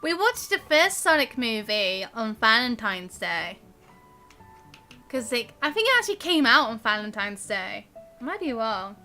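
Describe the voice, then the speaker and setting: A woman's voice: fairly high pitch, sing-song, unhurried, pushed and bright, faint music behind. A young British woman chatting casually on a game live stream, girlish and chatty with sing-song emphasis.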